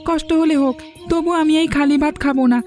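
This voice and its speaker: A woman's voice: high-pitched, steady tone, fast talker, darkish tone, soft background music, natural voice. A woman voicing a stubborn, petulant young child in a cartoon, whining and insistent. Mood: stubborn, sulky. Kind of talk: cartoon voice acting.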